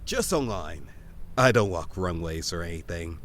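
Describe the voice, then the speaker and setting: A man's voice: low-pitched, lively intonation, measured pace, fairly bright tone, a little hiss or hum. A man playing a modest, easygoing young boyfriend in a comedy audio drama, casual and unassuming.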